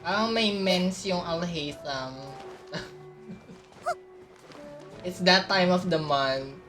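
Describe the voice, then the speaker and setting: A woman's voice: on the low side, slow pace, fairly bright tone, soft background music. A woman with a Filipino accent giving playful, reactive game commentary as she streams. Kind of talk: casual playthrough commentary mixing Tagalog and English.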